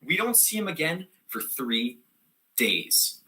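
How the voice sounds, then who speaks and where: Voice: mid-range voice, big pitch swings, takes it slowly, pushed and bright, full character voice. An actor playing a scatterbrained, defiant theater student telling a comic monologue in slangy, rambling style, given to sudden outbursts. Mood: frantic, excited. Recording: echoey room.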